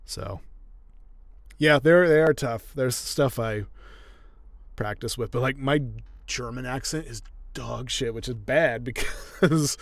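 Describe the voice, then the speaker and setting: A young man talking casually while he edits an audiobook, relaxed and chatty as he explains each step. The sound is dry and close, with no room echo. His pitch sits in an ordinary middle range. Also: takes it slowly, creaky, long pauses. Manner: relaxed, chatty, laughs often, explains as he goes. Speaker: audiobook narrator and editor. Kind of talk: casual chat while editing.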